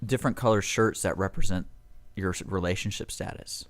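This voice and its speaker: A man's voice: sits low, fairly quick, no echo. A man co-hosting a radio show, joking and teasing in a casual way. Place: radio studio.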